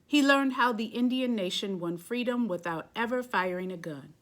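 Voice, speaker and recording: A woman reading a children's picture book aloud, phrasing deliberately and stressing key words. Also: a little high, a bit bright.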